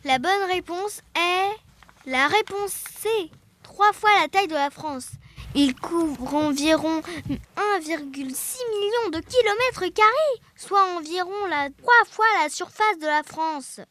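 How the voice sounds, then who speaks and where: A woman's voice: extremely high pitch, expressive, slow pace, bright, forceful tone, a little hiss or hum. A young girl presenting and narrating a scripted radio show. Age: child.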